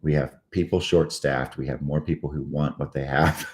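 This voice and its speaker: A man hosting a short morning show, talking casually while hoarse and tired, clearing his throat often and pausing on fillers. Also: very deep voice, steady tone. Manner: frequent throat clearing, halting with many fillers.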